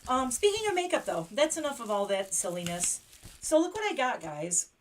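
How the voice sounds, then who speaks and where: A woman hosting a casual makeup livestream, chatty and excitable, laughing often. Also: fairly high pitch, moderate pace, slight background noise. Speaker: beauty livestream host.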